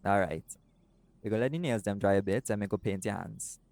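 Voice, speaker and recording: A man voicing a doting, laid-back seagull shifter boyfriend in an intimate audio roleplay, speaking softly and affectionately. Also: fairly low pitch, brisk, vocal fry, no echo. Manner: soft-spoken, affectionate, creole-inflected speech.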